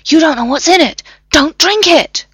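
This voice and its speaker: A woman's voice: on the high side, sing-song, unhurried, dry recording, studio quality, voice pushed up. Woman doing an exasperated wry soldier man. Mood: urgent. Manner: urgent, snapping.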